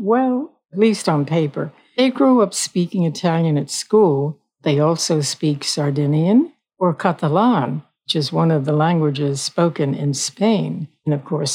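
A woman's voice: fairly low pitch, dark tone, low-quality recording. An older woman hosting her own show, talking in a chatty, folksy way and repeating her points. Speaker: host. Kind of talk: solo podcast talk.

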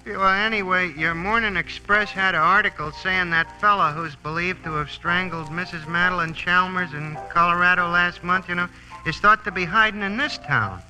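An actor playing a folksy, inquisitive bartender in old-time radio drama style. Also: male voice, sits high, moderate pace, sharp tone, poor audio. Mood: chatty, curious. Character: chatty, curious, folksy bartender. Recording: old radio recording, faint music.

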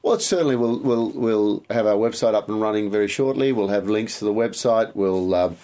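Aussie male co-host, low-pitched, wisecracking, halting with ums. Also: dark tone. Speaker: podcast co-host. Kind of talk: unscripted podcast chat. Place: small recording studio. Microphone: studio microphone.

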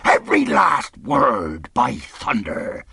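A man voicing a menacing, wrathful pirate leader in an audiobook, rough and growling, speaking in rustic sailor dialect. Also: medium pitch, wildly swooping pitch, takes it slowly, background noise, heavily acted. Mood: defiant. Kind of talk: audiobook character acting.

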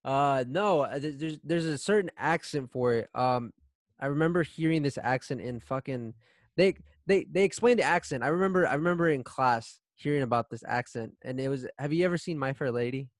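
A man's voice: medium pitch, fairly quick, no room sound, studio quality. Rambling Texan man, cursing freely. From Texas. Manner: rambling, profane, trails off. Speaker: podcast co-host. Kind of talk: casual chat.

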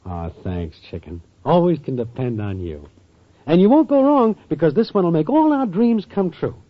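A man's voice: a little high, very animated, normal speed, dry recording. A man playing a scheming small-time hustler boyfriend with cocky, streetwise patter in a muffled 1940s radio sitcom. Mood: affectionate, then cocky, enthusiastic. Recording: muffled old radio with faint noise.

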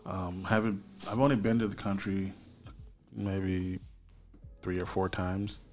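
Laid-back low-voiced man swearing casually. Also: flat delivery, a little slow, a little mellow, faint music behind. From Haitian family, raised in America. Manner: laid-back, swears often, trails off with ums. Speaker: podcast co-host. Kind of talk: casual chat.

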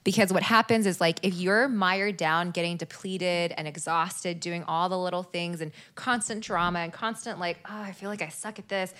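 A woman with a slightly low voice talks earnestly on a podcast. She keeps things flowing with frequent "like"s and "right?" as she makes her point. Her tone stays conversational throughout. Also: fairly even intonation, normal speed, hardly any pauses, fairly bright tone. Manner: earnest, fluent, many "like"s and "right?". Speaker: podcast guest, healer. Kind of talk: casual podcast chat.

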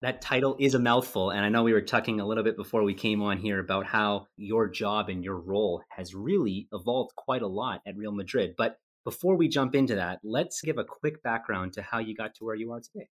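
A man's voice: on the low side, a little fast, few pauses. Easygoing male podcast host. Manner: chatty, easygoing, with fillers and restarts. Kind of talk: casual podcast hosting.

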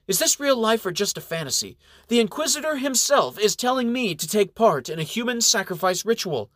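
A feisty, outspoken monk who cries out in a pitched-up, strained voice full of protest, voiced by a male narrator. The recording is dry and close, with no room around it. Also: sing-song, fairly quick, pushed and bright, no echo, heavily acted. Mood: incredulous. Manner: high, shrill, outraged pleading.

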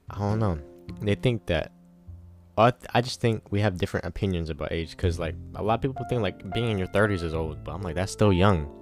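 A twenty-one-year-old man hosting a solo podcast, rambling casually with lots of swearing and pep-talk energy. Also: deep voice. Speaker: podcast host.

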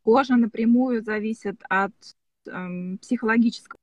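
A woman's voice: a little high, normal speed, no echo. Interviewer: woman, hesitant, restarts often, close and dry sound. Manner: hesitant, searching for words with restarts. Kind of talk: casual interview over video call.

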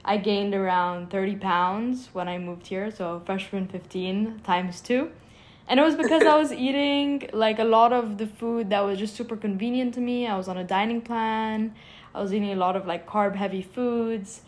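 A woman's voice: medium pitch, moderate pace. A young woman from Egypt, a startup founder, answering interview questions in an earnest, eager way with lots of "like" fillers. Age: young adult.

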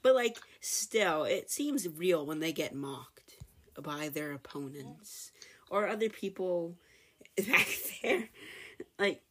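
A woman's voice: on the low side, lively intonation, takes it slowly, a bit bright, husky. A woman in a casual chat who rambles, filling almost every phrase with "like". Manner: rambling, heavy use of "like".

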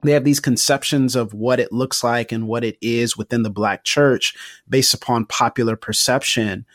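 A man's voice: mid-range voice, steady tone, normal speed, fairly bright tone, no room sound, clean studio sound. A man hosting a podcast interview, engaged and affirming as he poses his questions. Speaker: podcast host. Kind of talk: conversational interview.

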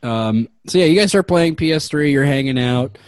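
A man's voice: a little high, animated, normal speed, a little mellow, dry recording. A man narrating a comedic tabletop game as game master, rambling with lots of fillers. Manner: rambling, many fillers, laughs often.

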